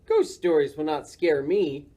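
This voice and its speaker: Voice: mid-range voice, measured pace, warm tone, close to an everyday voice. A reader voicing a simple, cheerful, easily startled animal in a children's storybook. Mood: cheerful, naive. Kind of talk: children's storybook read-aloud.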